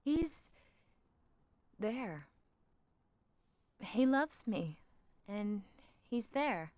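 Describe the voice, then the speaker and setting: An actress voicing a young woman in a video game, speaking softly and hesitantly. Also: fairly high pitch, very animated, very slow, no room sound, heavily acted. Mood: wistful. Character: young woman, wistful, newly engaged.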